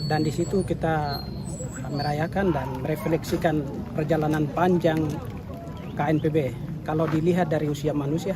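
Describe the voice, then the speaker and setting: A man with a Papuan Indonesian accent giving an interview statement as an activist leader, haltingly, with broken-off words. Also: fairly high pitch. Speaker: organisation chairman, activist. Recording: outdoor interview with clear traffic noise.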